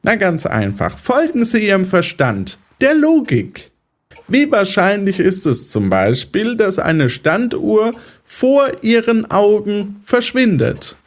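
A high-pitched, very animated male psychiatrist acted by a man in an audio drama. He lectures his patient with condescending, controlling assurance, swinging widely in melody as he explains. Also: takes it slowly, heavily acted. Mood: patronizing, soothing. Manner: condescending, didactic. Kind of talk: German audio drama acting.

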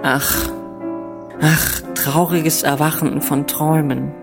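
A woman's voice: low-pitched, flat delivery, slow. A woman reciting poetic text as a narrator in an audio drama, in a declamatory style. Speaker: narrator of the prologue and epilogue text. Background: piano music.

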